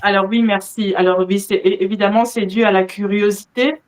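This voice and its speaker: A woman presents a live religious discussion. Her voice sits at an average pitch. She speaks with some hesitation, moving between addressing guests and reading out explanations. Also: steady tone, brisk. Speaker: host of a religious discussion show. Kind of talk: hosting a live online talk, partly reading out notes.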